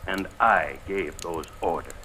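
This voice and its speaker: An actor playing a proud, bitter former resistance leader confessing in a radio drama, with clipped, formal, declamatory phrasing. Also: male voice, low voice, a little slow, bright, forceful tone. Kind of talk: 1950s radio drama acting. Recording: noisy old radio broadcast recording.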